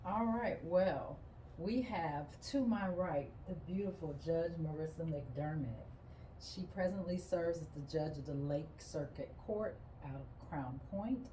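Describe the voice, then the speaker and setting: A woman's voice: low-pitched, lively intonation, unhurried, dark tone. A woman co-hosting a casual podcast interview and talking with guests. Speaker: podcast co-host. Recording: echoey room, faint noise.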